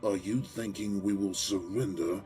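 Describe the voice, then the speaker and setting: A man's voice: on the low side, fairly even intonation, a little slow, mellow, lightly acted. A man playing a huge, proud farmer-mayor in an audio drama, speaking bluntly and emphatically with defiant conviction. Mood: proud, indignant. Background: faint background music.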